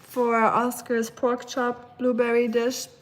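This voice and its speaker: A woman's voice: fairly high pitch, completely flat delivery, slow pace, rough recording. A young woman from Germany with a German accent, chatting casually with livestream viewers while she cooks at home, giggling and cooing at her dog. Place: home kitchen.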